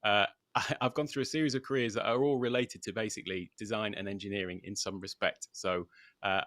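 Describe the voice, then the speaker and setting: A man's voice: lowish voice, fairly even intonation, brisk, fairly bright tone, no echo, clean studio sound. A British man from the UK, a guest talking loosely and unscripted over a video call, with many filler words. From United Kingdom. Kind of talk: casual livestream interview. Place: at home in Thailand.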